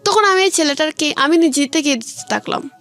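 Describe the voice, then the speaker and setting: A woman telling her personal story in an interview, in a halting way with rephrasing. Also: high-pitched, fairly bright tone, faint music behind. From Sylhet region, Bangladesh. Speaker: guest telling own life story.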